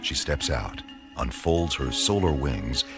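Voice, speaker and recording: An actor playing a solemn, theatrical storyteller, narrating a radio serial in a measured, dramatic manner. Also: male voice, rumbling low, animated, exaggerated voice. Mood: measured storytelling. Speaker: announcer and narrator. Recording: loud music under the voice.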